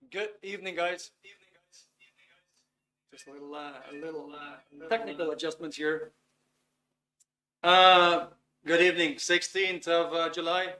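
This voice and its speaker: A man's voice: fairly high pitch, pushed and bright. A man in his fifties with a Dutch accent, hosting a live webinar in English, talking at an easy pace and sometimes searching for words. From Netherlands. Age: 50s. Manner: unhurried, searching for words, many fillers. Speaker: webinar host.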